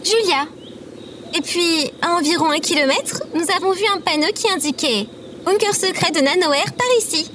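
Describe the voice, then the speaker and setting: A woman plays a young female quester with a very high voice and insect noise behind her. She explains plainly and earnestly, a reluctant heroine of audio comedy. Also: normal speed. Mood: informative. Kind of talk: audio fiction comedy acting. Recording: outdoor ambience with insects.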